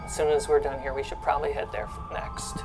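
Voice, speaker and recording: A woman's voice: sits low, level tone, fast talker, music in the background, lightly acted. A woman playing a concerned, practical male crewmate in a space horror tabletop game.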